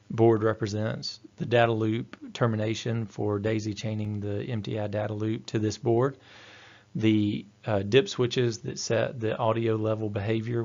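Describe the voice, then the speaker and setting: Guy doing a methodical training voiceover, flat delivery, kinda dull lo-fi audio. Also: fairly low pitch, vocal fry. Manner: methodical, explanatory. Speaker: technical trainer. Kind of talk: instructional presentation narration.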